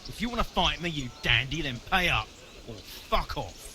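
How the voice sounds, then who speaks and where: A surly, swooping-voiced street brawler. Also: male voice, a little high, big pitch swings, normal speed, bright, forceful tone, a little hiss or hum, heavily acted. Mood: scornful. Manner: sneering, coarse. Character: surly, scornful male down-and-out brawler. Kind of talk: video game dialogue acting.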